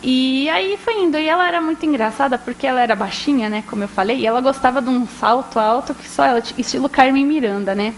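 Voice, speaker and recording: A woman's voice: sits high, fast talker, hardly any pauses, slight background noise. A young woman, telling a story in a casual, chatty talk, often tagging her sentences and acting out quotes. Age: young adult. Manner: chatty, frequent tag questions, quotes people in lively voices. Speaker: guest speaker, journalist and biographer. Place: indoor talk at an archive.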